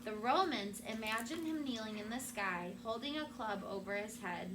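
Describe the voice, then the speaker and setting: Woman reading as a calm, wise storybook enchantress. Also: on the high side, natural voice. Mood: solemn, kindly. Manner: soft-spoken, measured, reassuring. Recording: clearly noisy room recording.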